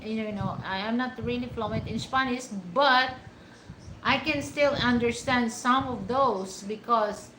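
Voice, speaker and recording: Filipino lady vlogging, slow and rambly, kinda high voice, echoey room. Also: a bit bright, slight background noise. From Philippines. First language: Hiligaynon. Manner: rambling, many restarts and fillers. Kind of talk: casual talk to camera.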